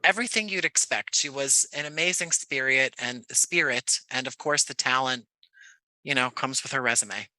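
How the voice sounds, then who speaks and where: A man speaking as an interview guest, answering reflectively and conversationally, often pausing to rephrase. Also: mid-range voice, pushed and bright, no echo, rough recording. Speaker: interview guest, actor and audio drama creator.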